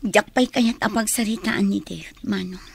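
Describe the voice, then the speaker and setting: A woman's voice: mid-range voice, moderate pace, gravelly, slight background noise, close to an everyday voice. A woman playing a heartbroken, guarded woman in a radio drama, with a Filipino Ilocano accent. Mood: hurt, soft.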